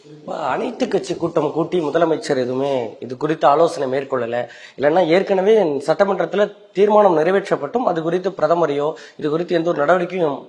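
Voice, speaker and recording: A male reporter with a Tamil Nadu accent puts questions in a press interview. His voice sits a little high and he talks quickly, in colloquial phrasing. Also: a little mellow. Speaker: news reporter asking questions.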